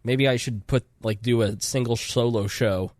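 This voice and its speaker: A man with a cold-congested voice hosts a casual podcast, chatty and rambling, often restarting his sentences. His voice sits at an ordinary middle pitch. The sound is dry and close, with no room around it. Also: fairly even intonation, moderate pace, darkish tone, no echo. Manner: rambling, self-interrupting, chatty. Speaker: podcast host.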